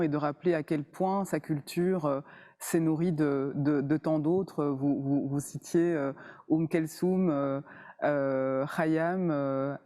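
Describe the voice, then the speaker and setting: Female interviewer, hesitant with many pauses, warm low-toned voice. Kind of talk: interview questions.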